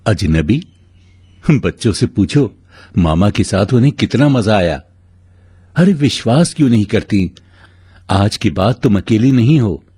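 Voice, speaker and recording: A man voicing a kind, mysterious stranger who is earnest and reassuring, in an animated moral story. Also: lowish voice, unhurried, pauses often, slightly rough, dry recording, heavily acted. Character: kind, mysterious male stranger turned devoted adoptive brother.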